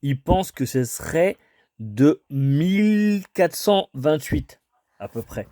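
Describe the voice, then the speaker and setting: A man rambling hesitantly, mellow and dry. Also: fairly high pitch, big pitch swings, slow pace, dry recording. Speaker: homeowner taking a cold call. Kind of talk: casual improvised phone conversation.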